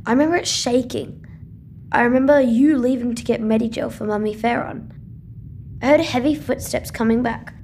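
A woman's voice: on the high side, normal speed, darkish tone, slight background noise, close to an everyday voice. A woman voicing a confused, trusting young boy full of questions in a sci-fi audio drama. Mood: earnest.

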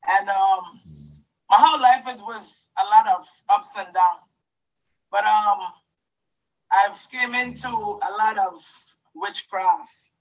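A woman from Guyana giving a halting, emotional testimony to her church. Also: mid-range voice, far from the mic, muffled recording. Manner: hesitant, halting, with fillers.

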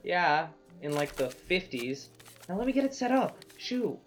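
An actor playing a teasing, bossy grown son in brisk bantering dialogue from a radio play. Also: male voice, sits high, very animated, soft background music.

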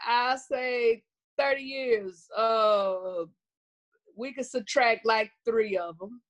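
A woman in her fifties with a Southern US accent, chatting as a comedian guest in a folksy, good-humored way. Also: medium pitch. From Arkansas, United States. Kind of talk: casual interview chat.

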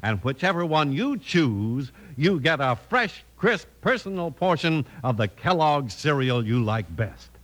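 Animated vintage male radio announcer. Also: a little high, measured pace, hardly any pauses. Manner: polished, salesman-like. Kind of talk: 1950s radio announcing and ad reading. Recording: vintage radio broadcast recording.

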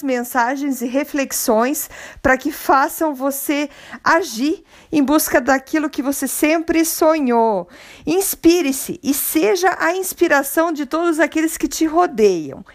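A Brazilian woman with a high, light voice hosts a motivational podcast on her own. She talks in a loose conversational way, encouraging and reflective, as if speaking straight to one listener. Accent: Brazilian Portuguese. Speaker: podcast host.

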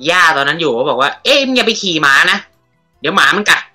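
A man's voice: a little high, sing-song, fast talker, sharp tone, faint music behind. A man guesting on a comedy podcast, chatting casually in blunt, joking, sweary banter.

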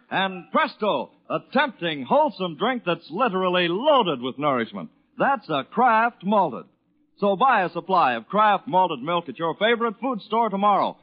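A man with an American accent announcing on 1940s radio, reading a scripted advert with polished, emphatic salesmanship. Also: high-pitched. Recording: dull lo-fi 1940s radio broadcast recording.

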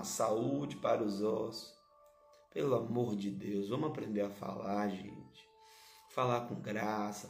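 A hoarse male pastor, preaching a sermon and repeating key phrases to his listeners. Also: mid-range voice, darkish tone, reverberant.

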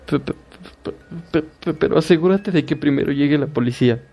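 A man voicing a frightened young woman who pleads and stammers in panic, in a gravelly recorded horror story. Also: fairly high pitch, fairly quick, dark tone. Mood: panicked.

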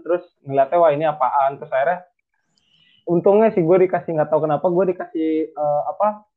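A young man from North Sumatra answers interview questions in a casual, rambling way, full of fillers and restarts. His voice sits a little high for a man. The sound is thin and tinny, like a phone line. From North Sumatra, Indonesia. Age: young adult.

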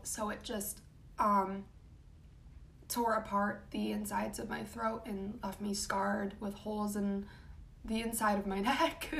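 Raspy young woman, casual rambling. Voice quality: raspy, low voice. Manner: casual, rambling, frequent ums and likes. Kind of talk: personal vlog talk. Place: home room.

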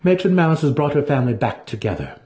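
Man voicing an imperious high-pitched dark elf priestess. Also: lively intonation, quick pace, natural voice. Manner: commanding, haughty.

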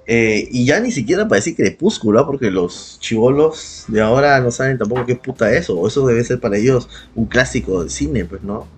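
A man with a Peruvian accent and a husky voice chatting casually as a podcast co-host, slangy and profane. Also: medium pitch, lively intonation, normal speed, hardly any pauses, faint music behind. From Peru. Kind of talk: casual chat, reminiscing.

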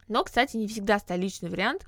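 A woman's voice: medium pitch, brisk, fairly bright tone, dry recording, clean studio sound. A woman hosting a podcast, talking through ideas on her own in an explanatory, reflective way with frequent hesitation sounds. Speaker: podcast host. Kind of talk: solo podcast monologue.

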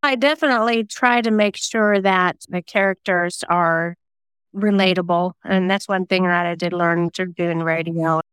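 A woman's voice: on the low side, steady tone, normal speed, fairly bright tone, clear, resonant tone, no room sound. A woman giving reflective, earnest answers as a guest in a conversational interview. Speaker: guest, singer-songwriter and novelist.

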